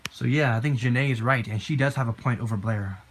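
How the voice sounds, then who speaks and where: A deep-voiced man talking casually to the camera in a personal vlog, rambling and filling his talk with constant "you know"s and repeated phrases. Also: fast talker, slight background noise. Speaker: vlogger.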